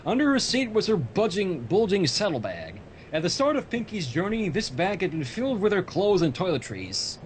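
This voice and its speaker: A man's voice: very animated, moderate pace, few pauses. A man hosting a livestream, reading a story aloud and breaking off for joking asides. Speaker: livestream host reading fan fiction aloud. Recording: noisy livestream audio with low rumble.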